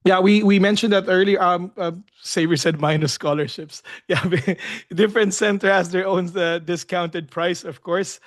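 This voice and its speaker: Male moderator, high pitch, friendly but halting, webinar host. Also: fairly even intonation. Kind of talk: hosting a live webinar Q&A.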